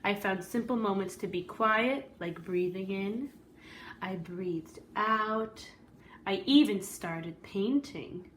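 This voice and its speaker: A woman voicing an earnest, weary good egg who tells his own story in a deliberate, dramatic storybook delivery. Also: mid-range voice, a little hiss or hum, natural voice. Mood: calm, reflective.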